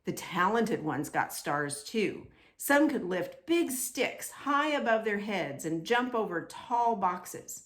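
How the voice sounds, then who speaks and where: A woman reading aloud to children in an expressive storytelling style, with deliberate emphasis on simple phrases. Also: mid-range voice.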